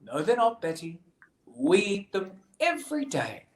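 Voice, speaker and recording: A woman's voice: mid-range voice, wildly swooping pitch, measured pace, echoey room, pitched above the performer's own voice. A woman voicing a storybook father rabbit who is firm and matter-of-fact.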